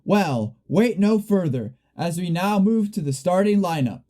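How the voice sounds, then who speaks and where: An excitable play-by-play sports announcer in a radio comedy, acted by a young woman. The delivery is showy and dramatic, with big emphasis on each call, yet the tone stays mellow and rounded. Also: on the low side, measured pace. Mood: showy, announcing. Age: young adult. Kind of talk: parody live sports commentary in a radio play.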